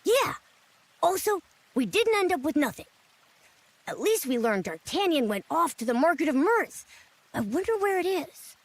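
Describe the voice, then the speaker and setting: A tiny, excitable cartoon companion from a video game. The voice is extremely high with a creaky edge and bounces around eagerly. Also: female voice, moderate pace, pauses often, dry recording. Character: small excitable cute companion sidekick. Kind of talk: cartoonish game cutscene voice acting.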